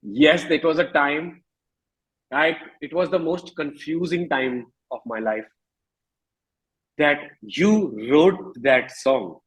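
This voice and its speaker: A man in his thirties with an Indian accent, speaking candidly and reflectively as an interview guest, often halting and rephrasing his thoughts. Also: fairly high pitch, breathy edge, low-quality recording. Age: 30s.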